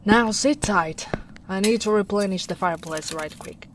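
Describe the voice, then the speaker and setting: A woman playing a big, gentle female ogre in a soft-spoken, soothing, teasing ASMR roleplay. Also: mid-range voice, normal speed, close to an everyday voice. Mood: caring, musing. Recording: noisy storm ambience behind voice.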